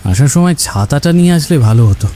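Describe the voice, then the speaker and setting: A man playing a steady, reassuring village husband in a ghost story cartoon, with a calm manner. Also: a little high, brisk, slight background noise, close to an everyday voice.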